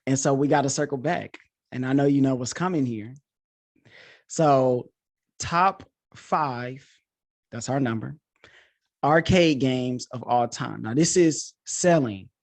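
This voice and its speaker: A man's voice: medium pitch, takes it slowly, pauses often. A man hosting a podcast in a casual, informal chat, talking with enthusiasm like a coach. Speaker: podcast host; coach and teacher.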